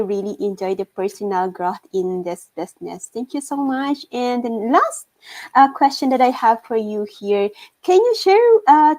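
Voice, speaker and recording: A woman hosting an interview, speaking English that is not her first language and pausing to search for the right words. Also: medium pitch, darkish tone. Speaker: interview host. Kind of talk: casual interview talk.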